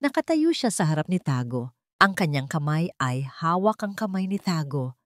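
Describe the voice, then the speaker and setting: A woman's voice: sits low, sing-song, moderate pace, no room sound, studio quality. A woman doing scripted narration of a romantic drama story, in a dramatic storytelling manner.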